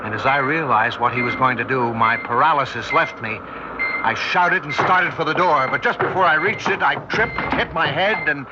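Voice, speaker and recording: A man's voice: mid-range voice, moderate pace, very noisy, lightly acted. Haunted actor as a male scientist, old radio sound. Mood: horrified, grave. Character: shaken male research scientist recalling a terrifying night. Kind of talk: 1960s radio drama acting, first-person recollection. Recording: dull old 1960s radio recording, loud music.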